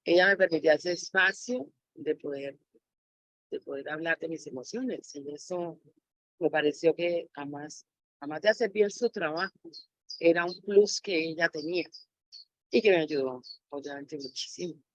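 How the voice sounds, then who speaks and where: A woman's voice: deep voice, normal speed, no room sound, poor audio. A woman speaking reflectively as an interviewee, sharing personal experience as a mother. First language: Spanish.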